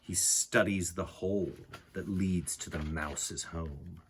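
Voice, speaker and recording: A man reading a picture book aloud to children, gently addressing his young listeners with a husky voice. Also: sits low, fairly even intonation, slow, slight background noise. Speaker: storyteller, picture book reader.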